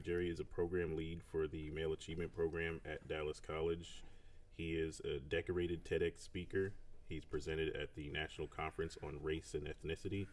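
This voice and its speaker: An upbeat, welcoming man hosts a podcast interview in an extremely deep voice. He sits some way back from the microphone, so he sounds a touch distant. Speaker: podcast host. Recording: voice distant from the mic.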